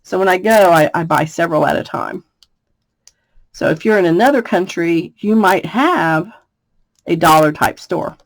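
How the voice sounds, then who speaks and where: A woman from the United States with a slightly raspy voice, chatting through a card-making tutorial and laughing between steps. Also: fairly low pitch, normal speed, dry recording. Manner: chatty, laughs often, thinks aloud. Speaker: craft demonstrator. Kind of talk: casual craft tutorial.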